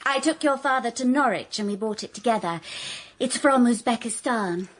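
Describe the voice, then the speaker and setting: A self-assured, needling woman talks in a breezy, smug way. Her voice is fairly high and husky. It is a scene from a radio comedy. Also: lively intonation, normal speed. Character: pushy, self-assured woman, the late father's companion.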